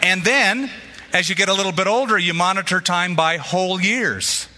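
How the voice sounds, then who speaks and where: Bright-voiced preacher in a reverberant hall. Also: male voice, sits high, moderate pace, slight background noise. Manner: storytelling, acts out quoted voices. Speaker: pastor. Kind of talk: sermon.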